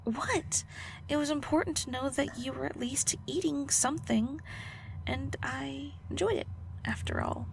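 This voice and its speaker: A woman playing a prickly, guarded but secretly caring alchemist in an audio roleplay, halting and flustered, teasing and defensive. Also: high voice, gravelly, slight background noise, rough recording. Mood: shy confession. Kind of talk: audio roleplay acting, intimate one-sided dialogue.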